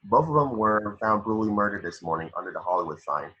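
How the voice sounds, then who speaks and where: A man's voice: lowish voice, expressive, quick pace, sharp tone, muffled recording. A man playing a formal, grave police detective in an amateur table reading of a film script. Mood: grave, insistent. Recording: home recording.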